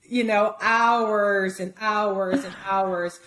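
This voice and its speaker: A woman's voice: mid-range voice, steady tone, very slow, a bit bright, slight background noise, low-quality recording. A woman from Brazil whose first language is Portuguese, speaking with a Brazilian Portuguese accent as a guest in a casual interview, chatty, with many fillers and restarts. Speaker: guest photographer.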